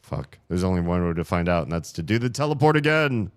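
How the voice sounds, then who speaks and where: A man streaming a puzzle game, grumbling sarcastically about the puzzles while his voice is going hoarse. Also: low voice, big pitch swings, quick pace, no echo. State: losing his voice. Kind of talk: casual live-stream commentary.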